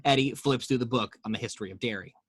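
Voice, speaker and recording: A man's voice: mid-range voice, fast talker, breathy edge, no echo, clean studio sound. A man narrating the action lines of a screenplay table read, with wry, playful asides.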